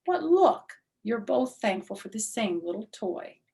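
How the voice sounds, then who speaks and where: A calm male schoolteacher from a children's storybook, acted out by a woman reader. He is patient and encouraging, explaining things with amusement. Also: mid-range voice, unhurried, creaky, voice pushed up. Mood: patient, amused. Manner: patient, instructive.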